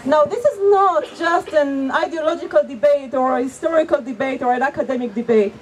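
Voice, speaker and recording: A woman's voice: high-pitched, a little fast, poor audio. A woman from Israel, a Hebrew speaker, speaking earnestly and emphatically as an activist in an outdoor street debate. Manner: earnest, emphatic, repeats points for weight. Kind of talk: impassioned street debate speech.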